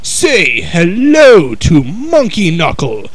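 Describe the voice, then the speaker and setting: A man voicing a furious gorilla in a storybook, with slow, emphatic, booming catchphrases. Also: wildly swooping pitch, breathy edge, no room sound, full character voice. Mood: triumphant. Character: furious male gorilla delivering brawling catchphrases.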